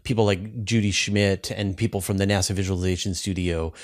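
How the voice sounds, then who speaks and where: A man's voice: sits low, fairly even intonation, brisk. A man hosting an interview in a casual, enthusiastic conversational way. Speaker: interview host.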